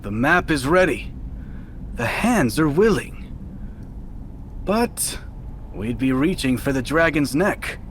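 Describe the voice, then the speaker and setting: An actor playing a thoughtful fortune-teller in a historical Chinese game drama, with a measured, deliberate delivery. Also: male voice, a little high, very animated, a bit bright, slight background noise, full character voice. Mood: wary. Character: thoughtful fortune-teller man in a heist crew.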